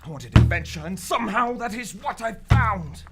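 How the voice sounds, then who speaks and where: A woman playing a plucky, polite, excitable English adventurer in a live radio serial. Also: medium pitch, moderate pace, lightly acted. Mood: determined. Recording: loud music under a live stage performance.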